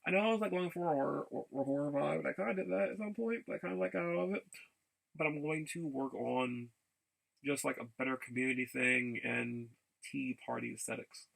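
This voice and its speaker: A man giving a casual, rambling vlog update in a gravelly voice, haltingly and often repeating his phrases. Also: on the high side, fairly bright tone, poor audio. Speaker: video blogger.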